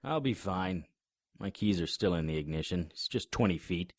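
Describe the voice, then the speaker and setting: A man with a very low, husky voice narrates a first-person noir horror tale. He tells even gory events in a grim, matter-of-fact way. The recording is close and dry, with no room sound. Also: moderate pace, no room sound. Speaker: narrator and lead character.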